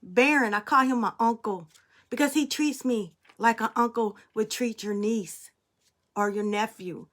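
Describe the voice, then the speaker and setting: A young adult woman with a fairly high voice talks to camera as a spiritual reader. She is blunt and emphatic, with free swearing, in a loose, casual monologue. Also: a little slow, fairly bright tone. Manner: blunt, emphatic, freely profane.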